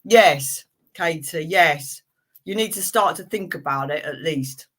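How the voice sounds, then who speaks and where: Lady tarot reader on a livestream, chatty and friendly, bright sharp voice, lots of ums and laughs. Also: sits low, a little slow. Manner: chatty and friendly, calls viewers "girlfriend"; frequent laughs and "um" fillers. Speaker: tarot reader hosting live readings. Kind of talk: live-stream chat, reading cards for viewers.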